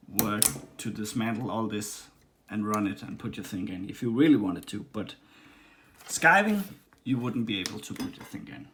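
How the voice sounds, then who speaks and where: A man from Denmark with a Danish accent, casually demonstrating and reviewing a leather machine, with many pauses and restarts. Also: lowish voice. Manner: halting, many ums and restarts. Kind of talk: casual workshop demo. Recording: faint background noise and clicks.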